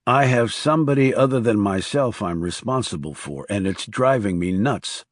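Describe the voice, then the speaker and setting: A man's voice: on the low side, expressive, moderate pace, dry recording, clean studio sound. Man reading as a terse, guarded government assassin. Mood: businesslike, skeptical. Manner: terse, questioning. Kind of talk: audiobook character dialogue.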